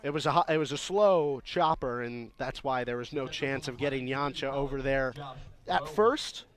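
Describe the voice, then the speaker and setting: Mid-pitched American man, baseball color commentator. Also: moderate pace. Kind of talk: conversational live sports commentary. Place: ballpark radio broadcast.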